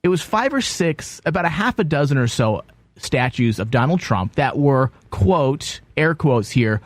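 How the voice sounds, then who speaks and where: A man's voice: mid-range voice, big pitch swings, measured pace, dry recording. A young man hosting a casual comedy chat show, sick and nasal, rambling and joking. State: sick, stuffy nose. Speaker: podcast host.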